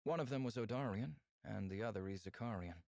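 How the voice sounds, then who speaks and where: Reserved male alien scientist for a game: low-pitched, formal, articulate, earnest, closely dry recording. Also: a little fast, creaky, a little mellow, dry recording. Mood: measured, candid. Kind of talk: visual novel game dialogue.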